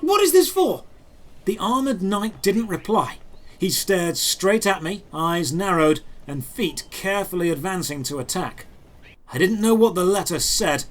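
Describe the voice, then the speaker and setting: A man giving dramatic, scripted first-person narration for a fantasy audio drama. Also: high-pitched.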